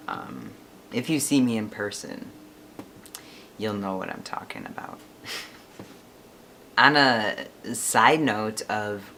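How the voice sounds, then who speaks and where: A man's voice: lowish voice, vocal fry, fairly bright tone, a little hiss or hum. Chatty young man rambling to camera. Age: 20s. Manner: chatty, rambling, laughs often. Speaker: vlogger. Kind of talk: casual chat to camera.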